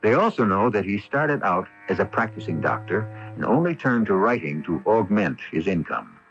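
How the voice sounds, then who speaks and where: A muffled AM radio tape with music carries a man hosting a drama. His low voice moves expressively through scripted introductory narration. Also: big pitch swings, moderate pace, a bit bright.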